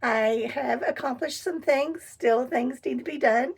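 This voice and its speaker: A middle-aged woman with a hoarse voice, chatting casually as she gives a tour of her camper van. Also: high-pitched. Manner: chatty and enthusiastic, pausing while showing things. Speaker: vlogger. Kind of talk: casual home tour vlog. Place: inside a small camper van.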